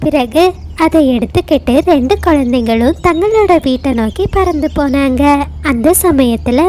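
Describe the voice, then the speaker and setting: A woman's voice: high-pitched, lively intonation, moderate pace, a little mellow, faint music behind. A woman storyteller giving scripted narration of a children's tale.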